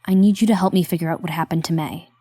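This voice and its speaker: An actress playing a wry, reluctant but loyal helper in a horror audio drama, talking in a dry, conversational way. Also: female voice, fairly low pitch, fairly even intonation, fast, warm tone, husky, dry recording.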